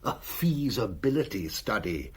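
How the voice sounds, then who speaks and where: A worldly German admiral of wartime intelligence for a radio play. He is weary and sardonic, confiding in a low, husky voice. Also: very animated, measured pace, full character voice. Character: weary, cynical German admiral, wry senior spymaster. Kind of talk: radio drama acting.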